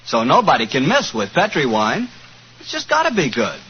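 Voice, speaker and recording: A man's voice: mid-range voice, huge pitch swings, moderate pace, a bit bright. A male announcer doing scripted 1940s radio sponsor banter, with emphatic salesmanship. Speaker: announcer and wine pitchman. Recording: muffled, faintly noisy 1940s radio recording.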